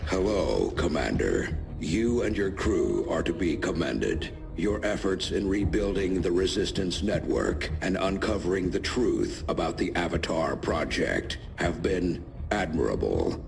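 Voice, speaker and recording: An actor playing a shadowy, solemn resistance informant in a game cutscene, speaking formally and deliberately. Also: male voice, low-pitched, animated, creaky, heavily acted. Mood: solemn, foreboding. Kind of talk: video game cutscene acting. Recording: music behind the voice.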